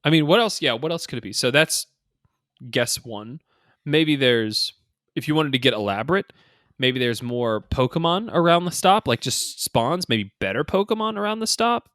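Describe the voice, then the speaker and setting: A man hosting a casual gaming podcast, thinking out loud in an informal, slangy, speculative way. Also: mid-range voice, expressive, moderate pace, dry recording, clean studio sound. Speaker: podcast host. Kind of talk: casual two-person podcast discussion of a mobile game.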